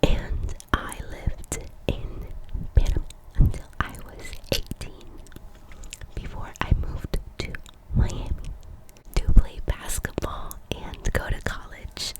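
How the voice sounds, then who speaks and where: A woman in her twenties from Colombia, whispering a casual ASMR chat and softly repeating trigger words. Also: takes it slowly, low-quality recording. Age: 20s. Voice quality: breathy unvoiced whisper. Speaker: ASMR creator.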